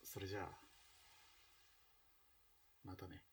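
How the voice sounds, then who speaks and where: A man's voice: deep voice, little pitch movement, slow, heavily acted. An actor playing a stern, protective young man and loyal grieving friend in a melodramatic radio drama. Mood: subdued, consoling. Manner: urgent, firm, emotional. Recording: slightly distant from the mic.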